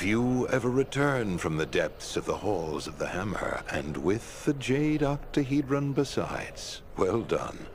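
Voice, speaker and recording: A man playing a shrewd, composed patron in a video game, speaking in a measured, formal, authoritative way. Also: lowish voice, big pitch swings, a little hiss or hum. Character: shrewd, composed male quest-giving patron. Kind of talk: video game dialogue acting.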